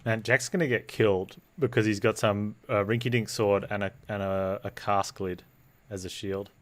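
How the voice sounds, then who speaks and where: Low-voiced man wisecracking over a game. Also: fairly even intonation, moderate pace, a little hiss or hum. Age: 20s. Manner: dry, wisecracking. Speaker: game streamer. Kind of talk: casual playthrough commentary.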